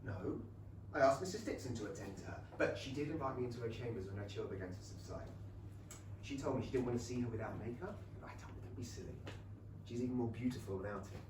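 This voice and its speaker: An actor playing an eager, naive young Regency gentleman in love, in a stage comedy. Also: medium pitch, quick pace, close to an everyday voice. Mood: earnest, defensive. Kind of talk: period comedy stage acting. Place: small London theatre. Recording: echoey theatre, distant mic, faint noise.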